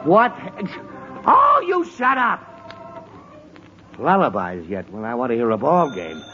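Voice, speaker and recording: A man's voice: medium pitch, huge pitch swings, unhurried. An actor playing an exasperated, sputtering middle-aged father in a 1940s radio comedy. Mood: flustered. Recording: muffled, hissy 1940s radio recording.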